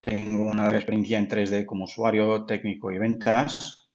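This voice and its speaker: Brief: low Portuguese-accented man, careful webinar presenter, muffled lo-fi audio. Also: level tone, moderate pace, darkish tone. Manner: careful, with frequent restarts. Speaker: product manager presenting. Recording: dull lo-fi audio.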